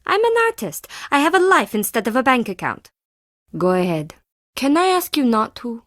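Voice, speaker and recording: A woman's voice: fairly high pitch, big pitch swings, moderate pace, a bit bright, no room sound, studio quality. An actress playing a timid, defensive woman artist and forger in a video game, with intense, theatrical delivery in clipped phrases. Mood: defensive, guarded. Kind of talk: scripted video game character dialogue.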